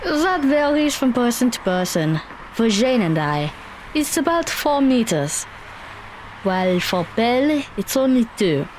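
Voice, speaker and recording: A wry, knowledgeable teenage girl in an audio drama, played by a woman. Her fairly high voice moves up and down a lot at a slow pace. She explains in a practical, dry way. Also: sing-song, a little hiss or hum. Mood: wry, explanatory.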